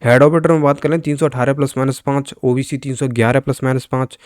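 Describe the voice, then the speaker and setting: A man's voice: mid-range voice, level tone, fast talker, clean tone, no room sound, clean studio sound. A man presenting exam news as an informal explainer, instructing viewers directly and often repeating himself. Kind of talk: informal explainer commentary over on-screen figures.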